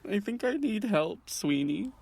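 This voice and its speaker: A man's voice: high-pitched, sing-song, a little slow, vocal fry, darkish tone, breathy edge, full character voice. A man voicing an insecure, self-deprecating swan in an audio drama, hesitant and awkward in speech. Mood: despondent.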